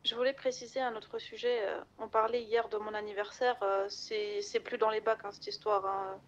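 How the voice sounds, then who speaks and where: Girl roleplaying a chatty caring woman, highish voice, bit muffled like voice chat, stumbles and restarts. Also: normal speed, gravelly, a little hiss or hum. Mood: caring, insistent. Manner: informal, hesitant with frequent restarts. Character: chatty, caring, self-deprecating woman, playfully scatterbrained. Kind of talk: improvised in-character roleplay chat.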